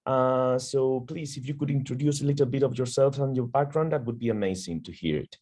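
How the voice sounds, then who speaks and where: A man's voice: medium pitch, brisk, dark tone. Upbeat male webinar host. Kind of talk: webinar hosting.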